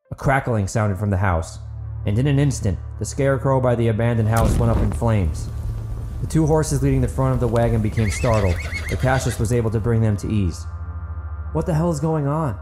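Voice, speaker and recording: A man's voice: mid-range voice, a little fast, over music. A man reading dramatic fiction as an audiobook narrator, in a scripted storytelling style.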